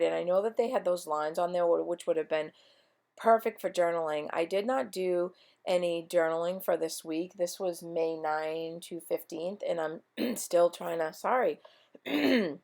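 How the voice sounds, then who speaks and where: Low-voiced woman chatting about crafts. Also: vocal fry, darkish tone. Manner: rambling, chatty, many ums. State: losing her voice. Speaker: scrapbooking vlogger. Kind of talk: casual craft tutorial chat.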